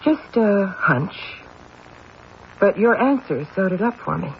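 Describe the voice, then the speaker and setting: An actor playing a skeptical, businesslike police detective with pointed questioning, in an old-time radio drama style. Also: male voice, sits high, lively intonation, takes it slowly, gravelly, full character voice. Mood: probing, cool. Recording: muffled old radio recording, faint noise.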